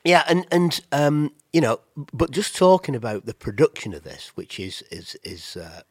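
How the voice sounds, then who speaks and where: A man's voice: mid-range voice, very animated, normal speed, husky, no room sound. A man with a cold and a nasal voice, chatting casually about music as a podcast co-host, rambling with restarts. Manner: rambling, with many restarts and fillers.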